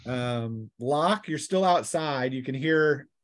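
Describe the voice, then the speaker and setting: A man's voice: medium pitch, lively intonation, takes it slowly, slight background noise. Man running a tabletop game. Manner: informal, conversational narration of dice combat. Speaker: game master.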